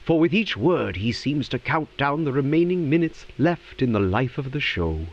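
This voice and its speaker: A man's voice: medium pitch, big pitch swings, normal speed, dull audio. A man playing a theatrical narrator in an audio drama, telling the story with suspense. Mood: suspenseful.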